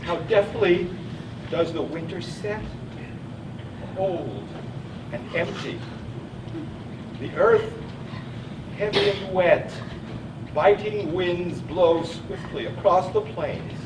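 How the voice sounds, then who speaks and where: An earnest, solemn male mental patient with a poet's bent played by an actor in a stage play. His voice is high and slow, caught from a distance with noise around it. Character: earnest, talkative male mental patient who writes poetry. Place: theatre stage, picked up at a distance. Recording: echoey, noisy stage recording from afar.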